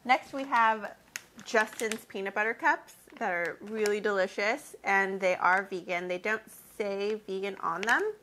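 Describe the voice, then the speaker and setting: Bright-voiced young woman recommending snacks. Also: mid-range voice, measured pace, slight background noise. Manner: friendly, recommending. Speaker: guest. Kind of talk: casual chat. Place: living room with window, indoors.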